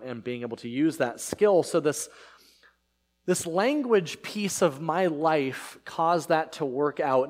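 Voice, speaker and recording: A man in his thirties, a pastor whose first language is Spanish, giving a casual, conversational sermon with many fillers and restarts. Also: on the high side.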